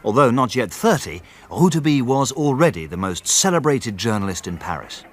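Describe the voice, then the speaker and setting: A man's voice: mid-range voice, sing-song, brisk, slight background noise, lightly acted. An actor playing a stuffy, dryly exasperated male barrister who also narrates a radio drama in a formal, slightly pompous way. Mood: measured storytelling.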